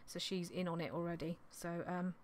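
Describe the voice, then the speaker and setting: A woman in her thirties, slightly hoarse from losing her voice, chatting in a rambling, apologetic way on a vlog, recorded in a small indoor craft room on a desktop mic. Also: lowish voice, level tone, normal speed, slight background noise. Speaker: clothing reseller vlogger. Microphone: desktop condenser mic on an arm.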